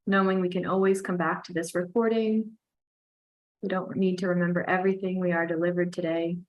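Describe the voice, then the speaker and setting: A woman leading a guided relaxation and breathing exercise, giving calm, unhurried instructions. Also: fairly low pitch, poor audio.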